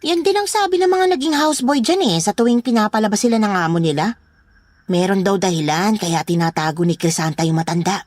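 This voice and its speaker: A woman's voice: medium pitch, brisk, darkish tone, no room sound, lightly acted. An actress playing an older female security guard, nosy and gossipy, in a horror audio drama. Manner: inquisitive, gossipy.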